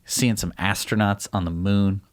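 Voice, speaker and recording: A man co-hosting a show in casual chat, often jumping in with quick reactions. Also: on the low side, steady tone. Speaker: co-host.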